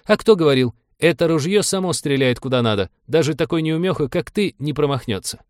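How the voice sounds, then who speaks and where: Man playing timid young spaceship navigator, high plaintive whine, defensive, audiobook character. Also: expressive, fairly quick, no echo, studio quality, close to an everyday voice. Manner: plaintive, whining, self-justifying. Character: whiny, fearful, defensive young male navigator.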